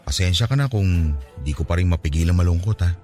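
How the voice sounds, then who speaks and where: A young man confessing his love in a radio drama. His voice sits low but moves widely as he pleads. Soft music plays faintly beneath him. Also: animated, fast. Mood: hurt. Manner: earnest, pleading.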